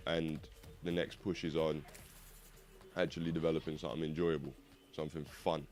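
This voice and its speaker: Deep-voiced croaky young man. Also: level tone, normal speed, a little mellow, soft background music. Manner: rambling, swearing, casual. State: slightly croaky voice. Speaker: gaming video host. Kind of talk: rambling casual monologue over gameplay.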